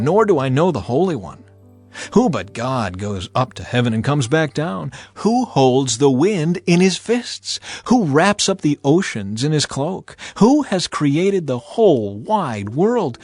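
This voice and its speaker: A man voicing a weary, humbled sage who pleads earnestly with God in a halting, dramatic way, in Bible audio. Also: a little high. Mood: awed, challenging. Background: background music.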